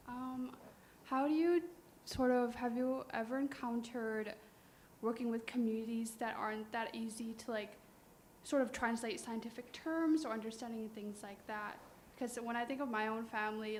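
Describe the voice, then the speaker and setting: Tentative young woman, high flat voice. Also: moderate pace. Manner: tentative, many fillers. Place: college lecture hall. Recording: faint background noise.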